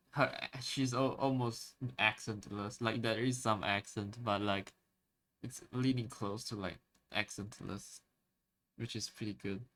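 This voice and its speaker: A man's voice: fairly low pitch, fairly even intonation, unhurried, a bit bright. A young man with a Malaysian English accent, a native Chinese speaker, chatting casually and rambling on stream with lots of fillers and restarts. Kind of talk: casual chat while drawing on stream.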